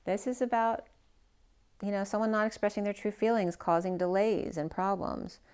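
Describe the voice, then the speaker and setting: A woman's voice: medium pitch, steady tone, normal speed, a little mellow, clean tone. A woman giving a casual tarot reading who is losing her voice. She thinks aloud, trails off and restarts her sentences. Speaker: tarot reader.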